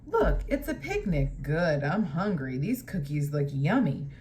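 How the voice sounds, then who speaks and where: A woman's voice: sits low, sing-song, measured pace, darkish tone, a little hiss or hum. A woman voicing an eager, friendly animal character from a children's picture book, with questioning storybook dialogue. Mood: eager, curious.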